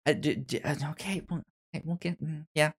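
A man co-hosting a podcast, chatting casually about films in a halting way, often repeating words and restarting. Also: on the high side. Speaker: podcast co-host. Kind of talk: casual film review chat.

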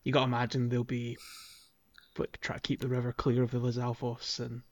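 A man's voice: medium pitch, monotone, fairly quick. A man co-hosting a podcast, talking casually about a video game. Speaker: podcast co-host.

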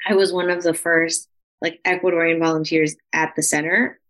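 A woman from the United States co-hosting a podcast, telling stories lively and mixing in Spanish words. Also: moderate pace. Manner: lively storyteller, slips into Spanish, pitch leaps when quoting. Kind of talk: casual chat.

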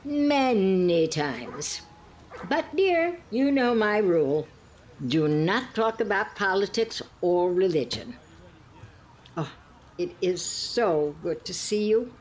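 A woman's voice: mid-range voice, expressive, slow pace, darkish tone, slight background noise. A woman playing a genteel, judgmental elderly friend in an audio play, prim and sighing, with politely pointed barbs. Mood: huffy, insistent. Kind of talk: scripted two-hander audio comedy-drama.

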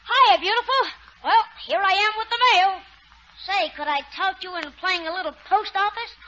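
Very high-voiced, brash little wisecracker for old radio comedy; muffled, noisy sound. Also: moderate pace, pushed and bright. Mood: flirty, cheeky. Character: cheeky little Billy, pint-sized mail carrier, wisecracking. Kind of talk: 1940s radio comedy acting.

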